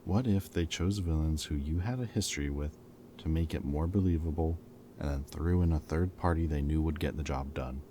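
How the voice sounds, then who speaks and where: Casting: man as wry ex-villain friend, bottom-of-range dark voice, conversational and earnest, some noise behind. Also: very deep voice, moderate pace. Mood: gentle, concerned. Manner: casual, teasing, earnest. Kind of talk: roleplay audio drama acting, conversational.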